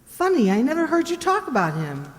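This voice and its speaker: An actress plays a detective's sympathetic girl Friday in old-time radio style, heard in a reverberant hall. Her highish voice swings expressively, and her talk is colloquial and solicitous. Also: huge pitch swings, fairly quick. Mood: curious, concerned. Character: sympathetic female assistant to a private detective. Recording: roomy hall with long reverb.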